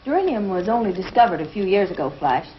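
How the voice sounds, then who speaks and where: A woman playing an earnest, precise space crew scientist in 1950s television adventure style, with crisp delivery. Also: mid-range voice, sing-song, quick pace, natural voice. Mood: serious, puzzled. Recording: dull, noisy 1950s TV film soundtrack.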